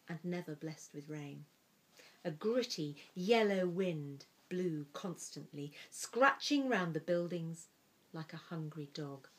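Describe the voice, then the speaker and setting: A woman reading a picture book aloud with expressive, deliberate delivery, letting some phrases fall hushed and breathy. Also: on the low side, poor audio.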